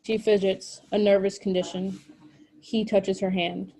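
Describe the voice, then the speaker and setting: A woman reading scripted stage directions at a table read in an even, matter-of-fact way. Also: mid-range voice, a little slow, low-quality recording.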